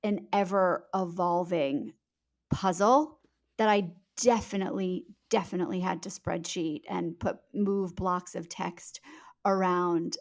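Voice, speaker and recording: A woman speaking as an interviewed author in a conversational podcast style, explaining her points emphatically in measured phrases. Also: fairly low pitch, darkish tone.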